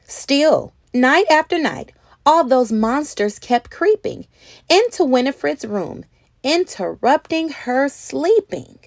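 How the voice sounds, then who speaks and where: A woman reading a children's story aloud in an expressive, animated way, acting out words as she goes. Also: high-pitched, vocal fry, dry recording, studio quality. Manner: animated, acts out words and sound effects. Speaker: children's read-aloud host.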